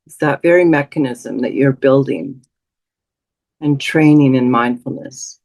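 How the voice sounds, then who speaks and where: Woman meditation teacher, really slow and soothing, long pauses, dry close sound. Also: rumbling low, darkish tone, no room sound. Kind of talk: guided meditation.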